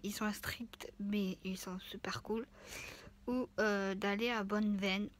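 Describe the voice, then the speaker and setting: A woman in her twenties with the flu and a stuffy nose, chatting casually in a vlog and rambling with many fillers. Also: mid-range voice. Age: 20s. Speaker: vlogger. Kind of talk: casual chat.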